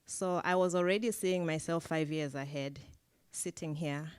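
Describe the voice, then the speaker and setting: A woman's voice: low-pitched, steady tone, moderate pace, clean tone, no echo. A woman on a discussion panel, speaking thoughtfully with frequent hesitations.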